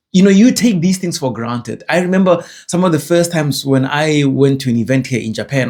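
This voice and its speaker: A man's voice: mid-range voice, a little mellow. A young man with a South African accent, talking casually to camera in a rambling, self-correcting way. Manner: digressive, self-correcting, chatty. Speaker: vlogger.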